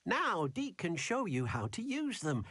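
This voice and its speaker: A man's voice: sits high, wildly swooping pitch, unhurried, fairly bright tone, breathy edge, dry recording, studio quality, exaggerated voice. An actor playing a kindly, eager male house-elf helper in a video game, explaining things patiently and referring to himself in the third person. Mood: helpful, instructive. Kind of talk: scripted video game character dialogue.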